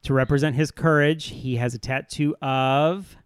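A man's voice: mid-range voice, fairly even intonation, moderate pace, smooth, no echo, studio quality. A man hosting a comedy podcast, quizzing guests in a casual, bantering style.

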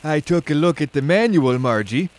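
A man's voice: on the high side, expressive, normal speed, close to an everyday voice. A man playing a mischievous, petulant companion full of excuses in a comic radio drama. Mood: smug.

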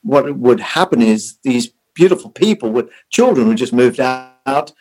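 A man's voice: mid-range voice, lively intonation, measured pace, darkish tone, rough recording. A middle-aged man with an Australian accent, born in South Africa, answering interview questions. He speaks conversationally, often restarting and repeating words. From born in South Africa, raised in Australia. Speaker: Salvation Army officer, podcast guest.

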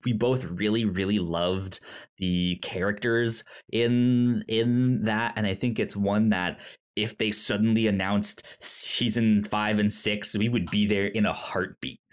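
Guy on a podcast just chatting, talks slow, kinda halting, trips and repeats words a lot. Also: on the low side, steady tone. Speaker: podcast co-host. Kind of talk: casual chat about shows and films.

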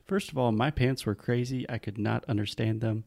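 A man's voice: medium pitch, fairly quick, gravelly, a little mellow. A man co-hosting a casual chat podcast, patiently explaining English pronunciation. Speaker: podcast co-host, English teacher.

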